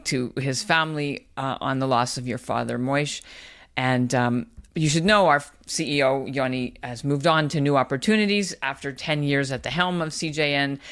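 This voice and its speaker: A man's voice: medium pitch, a bit bright, clean tone. A man co-hosting a casual news podcast, leading the conversation through the segments. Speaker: podcast co-host.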